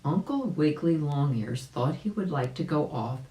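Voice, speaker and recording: A woman reading a children's story aloud as a volunteer, unhurried and animated, with chatty asides. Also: low-pitched. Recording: roomy, echoing sound.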